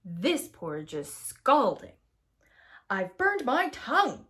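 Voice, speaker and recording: A woman voicing a big, gruff old papa bear in a children's storybook, blustery and emphatic. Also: on the high side, huge pitch swings, slow, bright, forceful tone, heavily acted. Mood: outraged.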